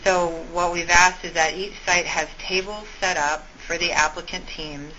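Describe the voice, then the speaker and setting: A woman's voice: lowish voice, steady tone, normal speed, pushed and bright. A woman giving an informal, methodical step-by-step briefing over a conference call. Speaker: school meetings organizer briefing a conference call. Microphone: telephone. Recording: muffled conference phone line, faint noise.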